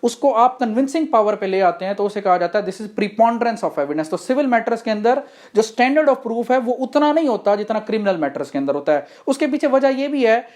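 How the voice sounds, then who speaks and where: A woman's voice: a little high, animated, moderate pace, few pauses, a little mellow. A woman with a Pakistani accent giving an explanatory law lecture, methodically defining terms step by step and posing rhetorical questions. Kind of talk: explanatory lecture mixing Hindi-Urdu and English.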